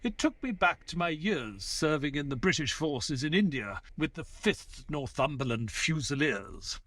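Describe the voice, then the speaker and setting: A man's voice: a little high, expressive, measured pace, a bit bright, no room sound. An actor playing a reserved former army man who is companion to a detective and narrator of an audio play. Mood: reflective.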